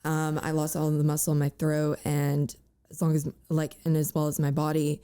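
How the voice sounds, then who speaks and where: A young woman talks in a candid, halting way, full of fillers and little restarts. Her voice is raspy and cracks often. It sits low for a woman and has a mellow, soft color. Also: completely flat delivery. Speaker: guest. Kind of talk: candid personal interview.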